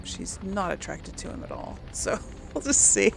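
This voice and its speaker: A woman hosting a casual role-play gaming video. Her voice is creaky and her pace unhurried, with a musing, hesitant way of putting things. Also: medium pitch, huge pitch swings, heavy background noise.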